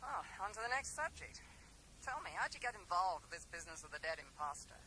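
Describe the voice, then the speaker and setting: An actress playing a brisk, wry, stoical woman in a radio drama, chatting breezily and brushing off sympathy. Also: fairly low pitch, big pitch swings, pushed and bright. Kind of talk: 1980s radio drama acting. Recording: noisy 1980s radio broadcast recording.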